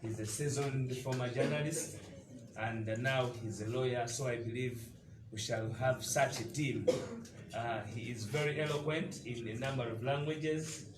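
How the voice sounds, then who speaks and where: A man's voice: medium pitch, fairly even intonation, normal speed, distant mic. A man from eastern Uganda with a Ugandan accent, a party deputy spokesperson giving an emphatic, combative press conference statement with frequent hesitations. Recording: echoey room picked up from afar, noisy.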